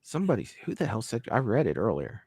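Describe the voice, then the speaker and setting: A man's voice: fairly low pitch, wildly swooping pitch, fast, dark tone, husky, no room sound, clean studio sound. Laid-back man hosting a live chat. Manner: laid-back, laughing, swears casually. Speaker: host. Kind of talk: casual live-stream chat.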